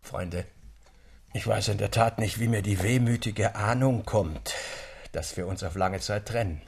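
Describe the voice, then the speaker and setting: A reflective, earnest literary gentleman speaks solemnly in a radio drama. His voice is low, creaky and rough, with a wistful manner. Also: unhurried, heavily acted. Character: wistful, earnest literary friend giving a toast.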